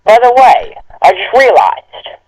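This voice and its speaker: A man's voice: very high-pitched, huge pitch swings, a little slow, poor audio. A man co-hosting a comedic reading, reciting a story and adding sarcastic, incredulous asides. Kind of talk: dramatic reading with mocking asides.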